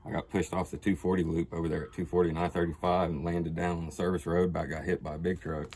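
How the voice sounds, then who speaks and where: A man's voice: sits low, level tone, fast talker, darkish tone. A middle-aged American man, a motorcycle mechanic, telling stories casually in plain, colloquial language. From United States. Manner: plain-spoken, colloquial, cursing. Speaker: motorcycle mechanic and rider, interview guest. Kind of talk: casual storytelling and chat. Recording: clearly noisy background.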